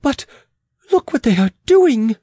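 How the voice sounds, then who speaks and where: A man's voice: sits high, very animated, a little slow, a little mellow, husky, no echo, pitched above the performer's own voice, full character voice. A man playing a proud, agitated, imperious queen. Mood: excited. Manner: haughty, exclamatory, commanding. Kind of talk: dramatic audiobook character reading.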